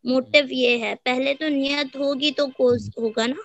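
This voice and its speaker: A woman's voice: high-pitched, fairly even intonation, a little slow, creaky, no room sound, highs cut off. A woman in her twenties with a Pakistani accent, a student explaining politely and mixing in English phrases. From Karachi, Pakistan. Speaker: final-year law student. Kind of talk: explaining in an online class.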